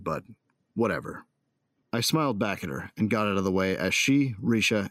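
An actor playing a cocky, hot-tempered bounty hunter who narrates a space opera audio drama in a wry, colloquial way. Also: male voice, on the low side, fairly bright tone, dry recording. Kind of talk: first-person scripted audio drama narration.

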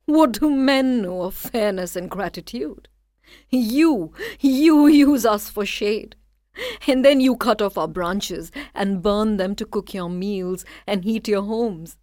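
Resentful old pine tree, forceful and accusing, voiced by a woman. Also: mid-range voice, takes it slowly, no echo, studio quality, natural voice. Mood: bitter.

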